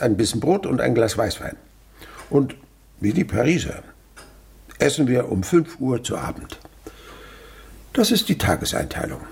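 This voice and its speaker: A miserly old provincial uncle for radio drama. The voice is very husky and gravelly, swinging widely as he blusters, gives orders and makes wry remarks. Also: mid-range voice, darkish tone, exaggerated voice. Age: older. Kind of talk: German radio drama acting.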